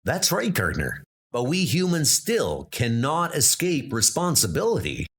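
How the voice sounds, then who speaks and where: A man's voice: mid-range voice, a bit bright, breathy edge, full character voice. A man playing a resolute, earnest armored hero in a comic book story, speaking in a declamatory way. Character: heroic armored male knight of post-apocalyptic Earth, earnest, resolute.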